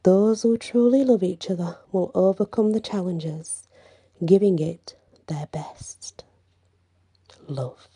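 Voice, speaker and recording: A woman narrating a bedtime fantasy story in ASMR style, hushed and breathy, often slipping toward a whisper. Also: lowish voice, slow pace. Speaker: storyteller reading own fantasy fiction.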